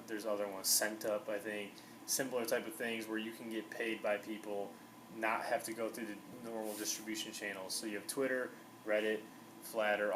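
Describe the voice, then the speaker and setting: Brief: young male guest, earnest and repetitive, low and level delivery, noisy hissy camera audio. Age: young adult. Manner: earnest, repeats himself while making a point. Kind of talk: casual chat. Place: small indoor room, basement studio.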